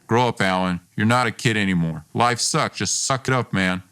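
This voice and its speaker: A man's voice: on the low side, normal speed, a bit bright, clean tone, voice pushed down, close to an everyday voice. A man voicing a domineering, self-important young man who belittles his friend in acted dialogue for a storytelling podcast. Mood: scornful, harsh. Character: domineering, boastful, scornful young man, a former best friend.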